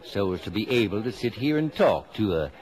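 A man playing an old-fashioned, easily flattered middle-aged American father in a 1950s radio comedy. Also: fairly low pitch, fairly quick. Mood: flustered delight. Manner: blustering, repeats himself, courtly.

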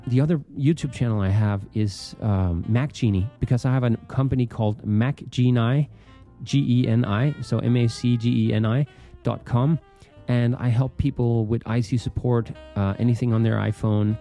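Creaky, mellow Danish man hosting a podcast. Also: mid-range voice, normal speed, faint music behind. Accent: Danish with American leanings. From Denmark. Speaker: podcast host. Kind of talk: casual solo podcast introduction.

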